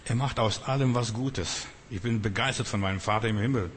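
A man's voice: fairly low pitch, brisk, in a large hall, slight background noise. A man preaching off the cuff as a non-native speaker, emphatically repeating phrases and addressing his listeners directly. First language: not German. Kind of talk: extemporaneous sermon.

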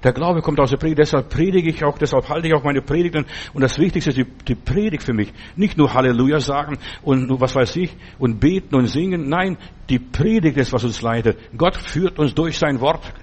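Husky man preaching a testimony sermon. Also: fairly high pitch, fairly quick, a little mellow. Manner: testimony-style preaching with many restarts and quoted dialogue. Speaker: pastor.

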